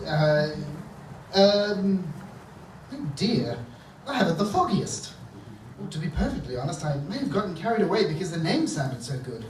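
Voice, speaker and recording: A man's voice: fairly high pitch, a little hiss or hum. A man playing a bumbling, irritable supervillain professor in a stage comedy radio play, pompous and easily flustered. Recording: echoey theatre stage, distant mic, faint music.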